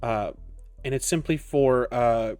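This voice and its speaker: Male game master, average pitch, hesitant improvised storytelling, background music. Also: darkish tone, clean tone, no echo. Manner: halting, pauses often mid-sentence. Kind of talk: improvised narration of a tabletop roleplaying game. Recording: music behind the voice.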